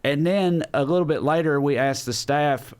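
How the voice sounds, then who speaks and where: A man's voice: a little high, normal speed, a little mellow, clear, resonant tone. Man telling rambling stories. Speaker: podcast host and comedian. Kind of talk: casual comic storytelling.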